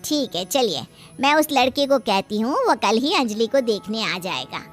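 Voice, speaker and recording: A woman's voice: a little high, very animated, normal speed, faint music behind, voice pushed down. A woman playing a nosy, coaxing neighbourhood auntie and matchmaker in a cartoon story. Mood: meddling. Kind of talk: Hindi cartoon story acting.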